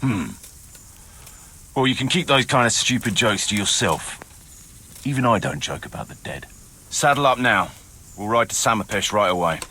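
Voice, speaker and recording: An actor playing an irascible, brusque, foul-mouthed knight in a video game cutscene, with music behind. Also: male voice, on the low side, expressive, normal speed, long pauses, a little hiss or hum. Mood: stern. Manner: brusque, forceful.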